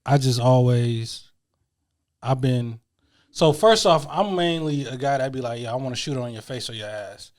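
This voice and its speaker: A man's voice: medium pitch, lively intonation, normal speed, darkish tone. A man in his thirties talking in laid-back podcast banter, often checking in with "you feel me". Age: 30s. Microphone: camera audio.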